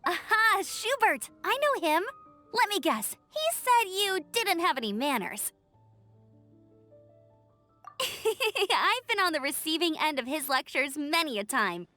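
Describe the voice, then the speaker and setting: A high, perky young scout. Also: female voice, big pitch swings, a little slow, faint music behind, heavily acted. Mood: cheerful, encouraging. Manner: bright-spirited, encouraging, friendly. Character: perky, upbeat young woman scout, eager to help. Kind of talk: scripted video game character acting.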